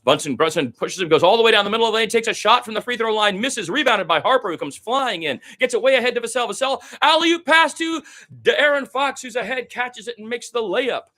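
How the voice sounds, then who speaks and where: A man's voice: very high-pitched, expressive, a little fast, bright, forceful tone. A man doing live radio play-by-play of basketball, describing the action in running detail with short exclamations and asides.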